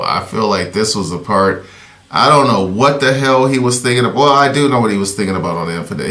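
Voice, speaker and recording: Low, profane male reaction host. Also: bright, forceful tone. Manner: profane, rambling, hesitant with fillers. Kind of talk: casual reaction commentary. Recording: echoey room.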